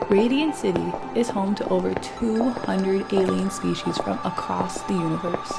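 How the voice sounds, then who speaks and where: A woman's voice: mid-range voice, steady tone, normal speed, creaky. A woman playing a chipper, wry young female tour guide in a sci-fi radio drama. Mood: rehearsed tour patter. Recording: loud music behind the voice.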